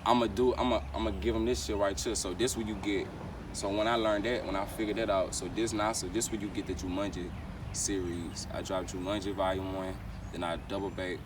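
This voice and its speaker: A man's voice: fairly low pitch, flat delivery, brisk. A young man, a rapper chatting casually in street slang in an interview, rambling and repeating his phrases. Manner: rambling, repeats phrases, frequent "you know what I'm saying". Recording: distant mic, clear outdoor traffic noise.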